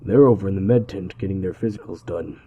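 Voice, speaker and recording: A man playing a blunt, wry, husky-voiced survivor in a casual audio drama. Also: low voice, fast talker, lightly acted. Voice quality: husky, dark. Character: blunt, wry male survivor, mock-grumbling. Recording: dull, muffled recording.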